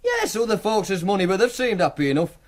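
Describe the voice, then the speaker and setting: Young northern english student lad, radio drama, highish voice, really animated, cocky and defiant. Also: male voice, fast talker. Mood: defensive, insistent. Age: young adult. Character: defiant, self-assured young working-class university student and gambler. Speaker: actor. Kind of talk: naturalistic radio drama acting.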